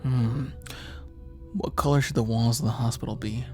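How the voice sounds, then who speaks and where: A man with a mid-pitched voice reads a visual novel aloud in a let's-play. He drifts between narration and casual chat, with faint game music behind him. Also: fairly even intonation, moderate pace, darkish tone.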